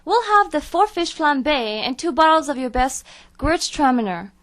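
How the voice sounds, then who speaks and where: High-voiced, haughty female talent agent. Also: expressive, moderate pace, fairly bright tone, no echo. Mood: impatient. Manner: haughty, brusque. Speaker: actor. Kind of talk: satirical audio drama acting.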